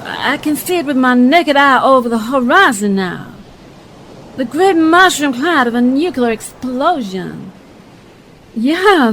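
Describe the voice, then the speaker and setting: A woman playing a news reporter and newsreader in a radio play, with a clear broadcast delivery. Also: fairly high pitch, a bit bright, a little hiss or hum, poor audio. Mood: excited, awed.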